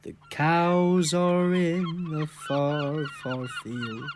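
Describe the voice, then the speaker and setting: A woman's voice: fairly low pitch, very slow, darkish tone, a little hiss or hum. A woman acting in an audio play, expressively voicing assorted characters and remembered family. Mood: soft lullaby.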